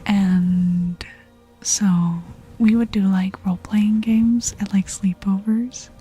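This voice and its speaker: A woman streaming ASMR, chatting casually in a soft, breathy, often whispered voice with a relaxed, intimate manner, close on the mic. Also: medium pitch. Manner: relaxed, slow, intimate murmur. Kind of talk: ASMR, casual chat with live viewers. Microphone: close ASMR microphone. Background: music.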